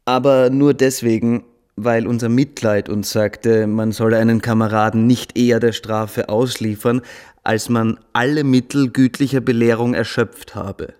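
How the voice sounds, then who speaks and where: An actor in a radio play delivering a formal, declamatory report of school events. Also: male voice, medium pitch, fairly even intonation, a little slow, darkish tone, smooth, lightly acted. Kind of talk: scripted radio play acting.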